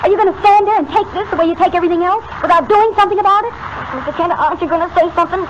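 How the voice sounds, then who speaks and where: A woman's voice: extremely high pitch, rapid-fire. An actress playing an anxious, outspoken young woman reporter in an urgent, emphatic 1940s radio style. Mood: pleading. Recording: muffled, very noisy old radio recording.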